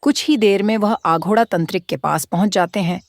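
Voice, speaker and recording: A woman narrating a storybook horror cartoon in a lively, expressive way. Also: medium pitch, a little fast.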